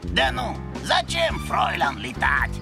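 A man's voice: high-pitched, wildly swooping pitch, slow pace, vocal fry, full character voice. A man voicing a dismissive male penguin inventor in a children's cartoon, brusque and mocking. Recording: music behind the voice.

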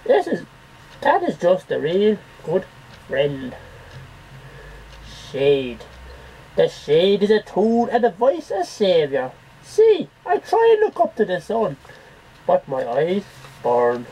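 A man's voice: high-pitched, huge pitch swings, slow pace, long pauses, dark tone, heavily acted. A man voicing an earnest, theatrical poetry reciter in an amateur home recording. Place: small cluttered bedroom. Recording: dull noisy webcam audio.

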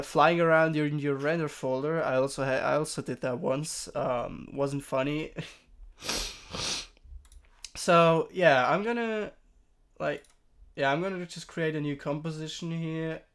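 A young man who is a bit sick, walking through a software tutorial in a rambling, casual way with many fillers and restarts. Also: a little high, a little slow, clear, resonant tone. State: sick, throat-clearing voice. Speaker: tutorial streamer. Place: home room.

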